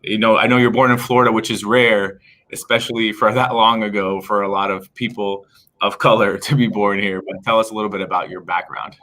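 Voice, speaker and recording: Host, male, low but bright and forceful tone, chatty and rambling questions. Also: fairly even intonation, fairly quick. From Palestinian background. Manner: conversational, asks long rambling questions. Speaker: host, attorney. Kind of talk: live-stream interview hosting.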